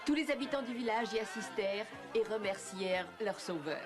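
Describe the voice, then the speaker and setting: A woman's voice: medium pitch, animated, brisk. A woman storyteller reading scripted narration for a children's fairy tale. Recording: music and crowd noise behind.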